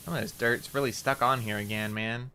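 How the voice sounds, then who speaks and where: A man's voice: mid-range voice, fairly even intonation, brisk, bright, forceful tone, a little hiss or hum. A young man from Canada with a slight rural Canadian accent and a nasal voice, chatting casually and rambling while streaming a game, swearing often and mocking himself. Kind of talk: casual gaming stream chat.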